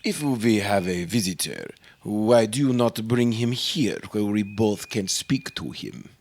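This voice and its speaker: A man's voice: fairly low pitch, expressive, measured pace, darkish tone. A man playing a brusque, self-important professor in a radio drama, speaking formally and giving clipped orders. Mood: suspicious.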